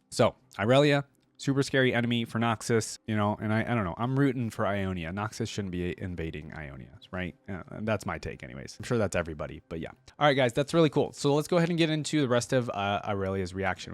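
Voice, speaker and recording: A man's voice: lowish voice, dry recording. A man hosting a reaction video, talking casually in an informal, slangy, rambling way.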